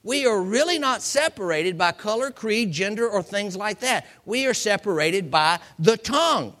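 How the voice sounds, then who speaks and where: A man's voice: high-pitched, expressive, moderate pace, pushed and bright. A man from the United States preaching an informal Bible lesson in a folksy way, repeating phrases to drive his point home. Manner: folksy, acts out examples and quotes. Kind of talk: informal Bible teaching sermon.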